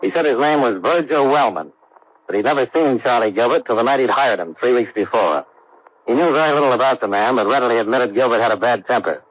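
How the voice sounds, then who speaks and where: An American actor playing a brisk, hard-boiled investigator who narrates his case in clipped, matter-of-fact 1950s radio style. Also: mid-range voice, animated, natural voice, low-quality recording. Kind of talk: 1950s radio detective acting. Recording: vintage radio drama recording.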